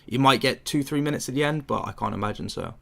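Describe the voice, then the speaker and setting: A man's voice: medium pitch, quick pace, creaky, bright, forceful tone. A young man hosting a football watchalong, commentating casually and chatting with viewers, rambling with fillers. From England. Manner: chatty, rambling with fillers, answers chat questions. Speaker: watchalong host.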